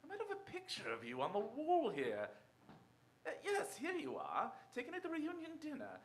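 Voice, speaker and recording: An actor playing a suave, calculating former tennis star in a radio drama, with an affable, glib, urbane manner. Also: male voice, very high-pitched, wildly swooping pitch, normal speed, slight background noise, exaggerated voice. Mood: hearty, genial. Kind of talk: stage radio-drama acting.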